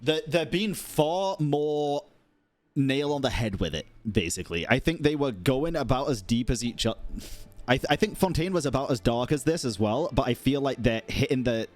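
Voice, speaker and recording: A man's voice: medium pitch, animated, moderate pace. A man giving emphatic, sweary live reaction commentary as a game streamer.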